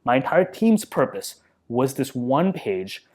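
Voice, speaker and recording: A man's voice: mid-range voice, sing-song, unhurried, a little mellow. A man in his thirties, guest on a podcast, talking casually and thoughtfully, often with "like" and "you know". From India. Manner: thoughtful, self-deprecating, filler-heavy. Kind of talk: casual interview conversation.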